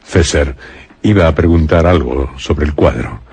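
A man's voice: rumbling low, measured pace, a little mellow, slightly rough, no room sound, heavily acted. A man narrating a gothic suspense radio drama in a grave, scripted style. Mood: grave, ominous. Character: grave male narrator of a gothic horror tale.

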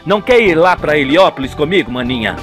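A man's voice: fairly high pitch, animated, quick pace, music in the background, lightly acted. A man playing an eager, easygoing young son in a radio soap, friendly and insistent. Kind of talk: radio soap opera acting.

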